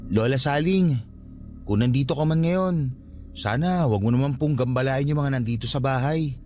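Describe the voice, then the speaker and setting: Casting: male actor as a calm, respectful young Filipino caretaker, mid-pitched, polite radio drama delivery over soft music. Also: moderate pace, natural voice. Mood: polite, reassuring.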